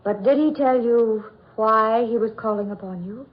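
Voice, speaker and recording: An actress playing a cool, taunting captive heroine with deliberate, dramatic 1940s radio drama delivery. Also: female voice, fairly high pitch, lightly acted. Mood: cool, pointed. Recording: muffled old radio recording.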